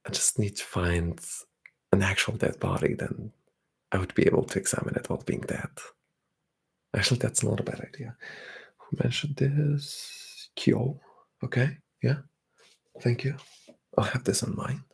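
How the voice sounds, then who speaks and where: A young man, chatting softly and often whispering on an ASMR livestream while reading out comments. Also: mid-range voice, steady tone, moderate pace, vocal fry, pauses often, slightly rough. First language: Bulgarian. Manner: soft-spoken, drifting into whispers. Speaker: ASMR streamer.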